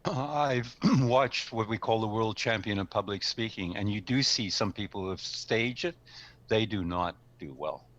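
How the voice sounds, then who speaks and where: A man's voice: on the low side, moderate pace, a bit bright, muffled recording. A man giving conversational advice on public speaking as a guest coach, encouraging and repeating his points for emphasis.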